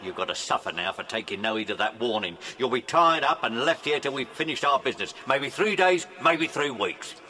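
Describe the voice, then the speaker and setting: An actor playing a threatening working-class villain in a children's audio drama, with a rough, ungrammatical way of talking. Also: male voice, medium pitch, animated, a little fast, hardly any pauses, bright, forceful tone. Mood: cold menace. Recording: noisy cassette transfer.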